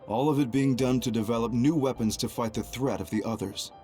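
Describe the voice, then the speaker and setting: A man playing a composed, calculating young double agent in a video game, speaking in a measured, formal, grave way. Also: mid-range voice, mellow, faint music behind, lightly acted. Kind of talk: anime-style video game cutscene acting.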